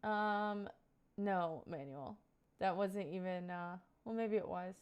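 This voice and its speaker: A woman's voice: fairly low pitch, takes it slowly, clear, resonant tone, highs cut off. A woman in her twenties talking casually on a live stream, answering viewer questions in a rambling, earnest way full of fillers. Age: 20s.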